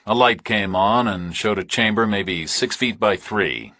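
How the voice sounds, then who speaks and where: A man reading scripted thriller narration as an audiobook narrator, in measured, terse phrases. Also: lowish voice, bright, forceful tone, dry recording.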